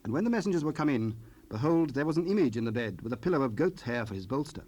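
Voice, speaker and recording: A man with a medium-pitched voice narrates a dramatized Bible story. His delivery is solemn and scripted, as the narrator between acted parts. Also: sing-song, fast, a little mellow.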